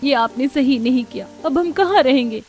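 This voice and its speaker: A woman voicing a gentle, anxious young wife in a cartoon family drama. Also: high voice, normal speed, close to an everyday voice.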